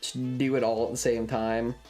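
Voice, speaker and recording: A young adult streamer talks off the cuff to a live chat. The voice sits at a medium pitch, and the delivery wanders, doubling back on phrases with hesitation. Music plays underneath. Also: flat delivery, normal speed, dark tone. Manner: rambling, hesitant, repeats phrases. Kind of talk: casual livestream chat.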